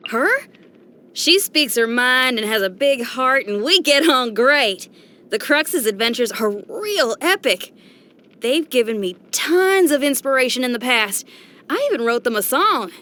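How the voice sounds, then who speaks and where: A woman's voice: high voice, expressive, unhurried, bright, forceful tone, heavily acted. A woman voicing a spirited, tomboyish young female rock musician in a video game, frank and friendly, with folksy, chatty phrasing. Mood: enthusiastic, fond. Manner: folksy, colloquial, exclamatory, chatty. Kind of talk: video game character voice lines.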